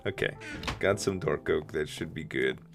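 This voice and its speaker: A man with a deep voice, a bit rough from the morning, casually thinking aloud while building in a game he hosts. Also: normal speed, over music. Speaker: let's play host. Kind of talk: casual gameplay commentary.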